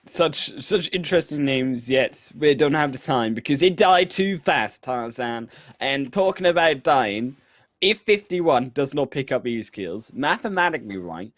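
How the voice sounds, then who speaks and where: A man calling an esports match play-by-play, building excitement around the action. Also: mid-range voice, dry recording, clean studio sound. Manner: energetic, builds excitement on kills. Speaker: esports caster.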